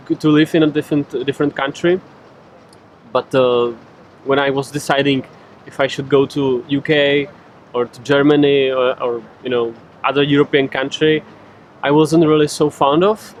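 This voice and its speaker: A man's voice: mid-range voice, slight background noise, rough recording. Hesitant young Czech man outdoors. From Czech Republic. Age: 20s. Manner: hesitant, restarts words often. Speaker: vlogger. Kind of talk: casual chat. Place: outdoors with a city behind.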